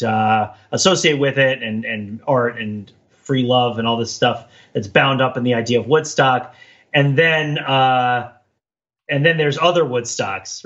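A man with a medium-pitched voice co-hosts a casual, analytical podcast. He talks discursively, tagging points with "right?" as he goes. The audio is a little dull and lo-fi. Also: a bit bright. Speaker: podcast co-host.

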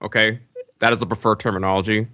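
Fast-talking low-voiced male podcaster, deadpan. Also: no echo, lo-fi sound. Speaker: podcast panelist. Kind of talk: casual comedic banter.

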